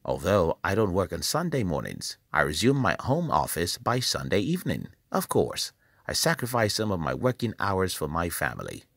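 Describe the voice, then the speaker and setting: Man, lively low voice-over. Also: creaky, no room sound, studio quality. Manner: measured, emphatic, preacherly. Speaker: channel host. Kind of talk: scripted motivational voice-over.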